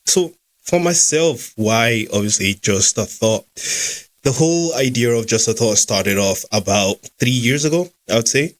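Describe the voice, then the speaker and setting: A man's voice: mid-range voice, expressive, a little slow. A young Scottish man hosting a podcast in an easygoing chat with some fillers. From Scotland. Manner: easygoing, with fillers and small laughs. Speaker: podcast host and founder. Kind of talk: casual chat.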